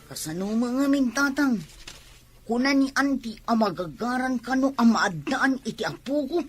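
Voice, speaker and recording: A woman's voice: a little high, expressive, moderate pace, breathy edge, faint music behind. A woman playing a headstrong, indignant woman in a radio family melodrama, emphatic and questioning. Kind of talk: radio drama acting.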